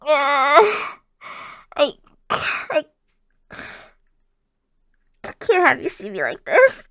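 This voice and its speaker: A shy, easily flustered young girlfriend, acted by a woman in an intimate ASMR roleplay. Her high voice swings expressively and lingers slowly over tender, hesitant words. She is close and very dry on the microphone. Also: sing-song, no echo, exaggerated voice. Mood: embarrassed. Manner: soft, hesitant, tender, often slipping into whispers.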